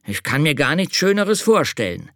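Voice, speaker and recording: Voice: medium pitch, sing-song, normal speed, a bit bright, dry recording, clean studio sound, voice pushed up. A narrator doing a kindly old hare. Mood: offended. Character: kindly, easily offended old male hare. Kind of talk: storybook reading.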